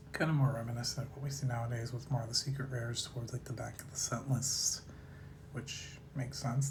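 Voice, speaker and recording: Slightly raspy man over noticeable background noise. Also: medium pitch. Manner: laid-back, musing, trailing off mid-thought. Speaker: host of a card collecting video series. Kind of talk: casual rambling commentary to viewers.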